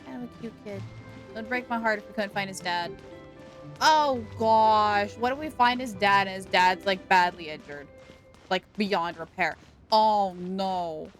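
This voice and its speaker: A woman's voice: medium pitch, a little slow, faint music behind. A woman hosting a game livestream, chatting casually and reacting playfully and expressively to what happens.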